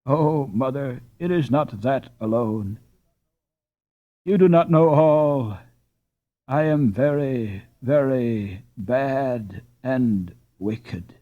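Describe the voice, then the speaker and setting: Anxious poor little girl, soft and slow, read by a man, dull lo-fi audio. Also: mid-range voice. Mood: distressed. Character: poor young Dutch girl, anxious and tearful.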